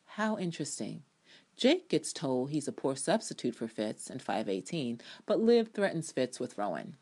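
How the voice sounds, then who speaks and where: A woman's voice: low voice, a little mellow. A woman giving a dramatic, emphatic reading of her own opinionated TV review. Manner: emphatic, opinionated, acts out quotations. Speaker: TV show reviewer.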